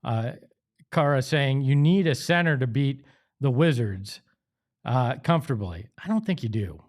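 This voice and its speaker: A man's voice: mid-range voice, lively intonation, a little slow. A man with an American accent hosting a sports show, speaking in an emphatic, conversational way. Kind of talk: opinionated sports talk and host-read ads.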